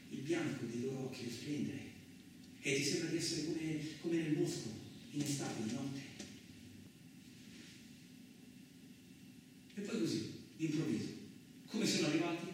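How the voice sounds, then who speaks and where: An actor playing a coarse, suspicious, lonely truck driver in a radio theatre monologue, rambling colloquially with frequent questioning interjections. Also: male voice, on the high side, a little fast, natural voice. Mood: uneasy, hushed. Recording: very echoey, distant, noisy room recording.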